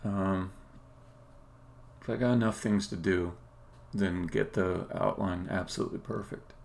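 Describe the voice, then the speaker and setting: Man, low pitch, very creaky, casual live-coding commentary, halting self-directed muttering, keyboard clicks behind. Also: steady tone, darkish tone. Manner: halting, muttering to himself while working. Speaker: game developer live-streaming. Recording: faint noise, keyboard typing and clicks. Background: computer keyboard typing and mouse clicks.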